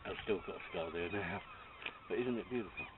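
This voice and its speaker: A man's voice: low-pitched, a little fast, background noise. A man around eighty outdoors, joking casually and laughing at himself as he recalls his childhood. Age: about 80. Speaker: guide revisiting his childhood home. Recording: distant tinny outdoor recording with geese nearby.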